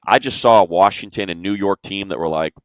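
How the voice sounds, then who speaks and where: Very low male voice, American accent, emphatic sports talk, repeats points, dry close sound. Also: brisk, no room sound. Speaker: sports show analyst, play-by-play announcer. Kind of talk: casual sports analysis chat.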